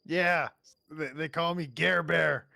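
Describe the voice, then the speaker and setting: Slow, creaky higher-pitched man roleplaying. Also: fairly even intonation, sharp tone, no room sound. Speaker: tabletop game player. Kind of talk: casual in-character roleplay.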